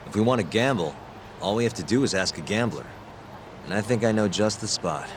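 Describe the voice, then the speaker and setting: An actor playing a wry, tough investigator in a video game, talking in a dry, casual, understated way. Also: male voice, on the low side, animated, a little fast, background noise, natural voice. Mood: businesslike. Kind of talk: video game cutscene acting.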